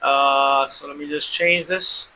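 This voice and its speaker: A man's voice: a little high, fairly even intonation, slow pace, pushed and bright, slight background noise, poor audio. A man hosting an informal tech demonstration, explaining step by step and often repeating himself. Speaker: tutorial host.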